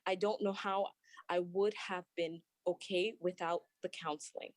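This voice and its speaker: A young woman, a doctor, giving earnest prepared testimony at a public hearing, with halting restarts, speaking remotely from her workplace. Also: on the low side, steady tone, no echo. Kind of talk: prepared testimony, read aloud.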